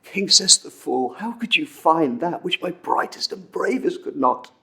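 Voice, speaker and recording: A man acting a dramatic monologue as an earnest, wistful storyteller recounting an old legend, with intense pauses and swells. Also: a little high, expressive, bright, forceful tone. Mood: tender, rising wonder. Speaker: amateur actor performing a film monologue.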